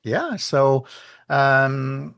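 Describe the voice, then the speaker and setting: A middle-aged man with a French accent, talking as a guest author in a casual interview, with many pauses and fillers, lively when he retells conversations. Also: mid-range voice, gravelly, clear, resonant tone. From France.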